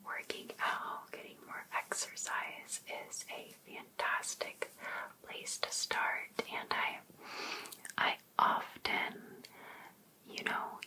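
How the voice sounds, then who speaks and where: An obsessed, jealous life coach played by a woman in an ASMR roleplay. She whispers very slowly and coaxingly to a single client, sweetly pushy and possessive. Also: lightly acted, poor audio. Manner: slow, breathy, coaxing whisper addressed to one client.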